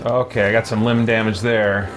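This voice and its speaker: A man's voice: lowish voice, level tone, normal speed. Man casually narrating gameplay, music behind him. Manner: thinking aloud, conversational. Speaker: let's play host.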